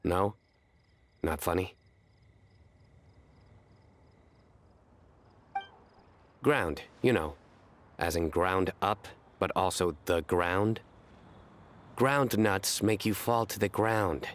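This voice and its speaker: A man playing a solemn young adventurer in a video game, speaking in a formal, measured, deadpan way. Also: deep voice. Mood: deadpan joking. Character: deadpan, solemn young male adventurer who tells bad puns. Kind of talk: animated video game voice acting.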